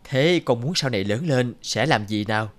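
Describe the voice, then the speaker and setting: A man voicing a big, mild-mannered middle-aged father in a cartoon, measured and fatherly. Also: mid-range voice, lightly acted. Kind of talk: cartoon dubbing. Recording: guitar music loud behind.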